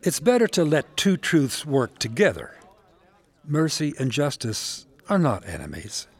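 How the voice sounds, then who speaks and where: Wise old rabbi in a bible radio play, husky, wry n gentle, voice moves up and down a lot. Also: male voice, on the high side, huge pitch swings, exaggerated voice. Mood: calm counsel. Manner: measured, gently questioning. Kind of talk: dramatized biblical audio drama.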